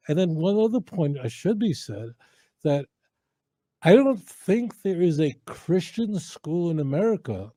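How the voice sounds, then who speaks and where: A man whose first language is Hebrew argues his point on a podcast, leaning on rhetorical questions. His voice sits fairly high and very mellow. The recording is dry and close. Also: dry recording. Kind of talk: casual podcast chat.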